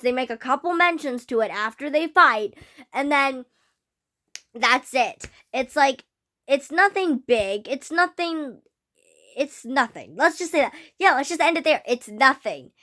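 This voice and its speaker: A teenage girl ranting casually about a film to camera, rambling with many fillers, her voice slightly hoarse. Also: sits high, expressive, moderate pace, pushed and bright. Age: teens. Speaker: vlogger. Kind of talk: casual fan rant to camera.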